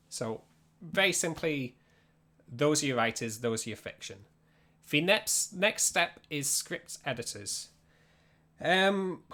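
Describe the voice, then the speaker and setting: Higher-pitched Yorkshire man teaching informally. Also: fairly bright tone. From Yorkshire, England. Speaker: streamer teaching audio drama production. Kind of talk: informal livestream workshop talk.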